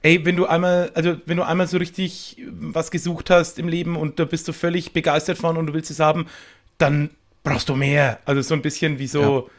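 A man's voice: on the high side, flat delivery, a little fast. A man chatting casually on a panel, explaining things colloquially and often repeating words as he goes. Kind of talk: casual chat.

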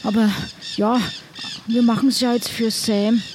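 A woman's voice: fairly high pitch, flat delivery, unhurried, noisy background. A woman improvising as a player in a role-playing game, speaking casually in character.

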